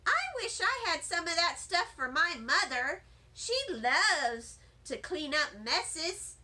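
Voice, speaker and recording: A woman's voice: very high-pitched, expressive, slow, pushed and bright, heavily acted. A woman voicing a first-grade girl classmate in a children's storybook, matter-of-fact and childlike. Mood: admiring. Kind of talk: children's storybook read-aloud.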